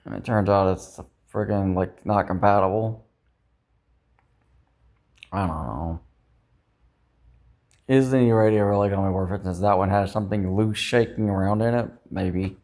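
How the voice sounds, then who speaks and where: A man with a fairly low voice speaks in a loose, rambling vlog style. He circles back over his points and doubts himself as he goes, dropping in the odd mild swear word. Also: fairly even intonation, moderate pace, long pauses, darkish tone. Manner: self-doubting, ruminating, mildly profane. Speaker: vlogger. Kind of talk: rambling casual vlog monologue.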